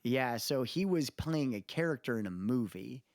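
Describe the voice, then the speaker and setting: A man's voice: mid-range voice, lively intonation, moderate pace, darkish tone, dry recording. Male podcast co-host, mid-range voice, loose rambling opinion talk, dry recording. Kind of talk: casual podcast chat, opinionated music commentary.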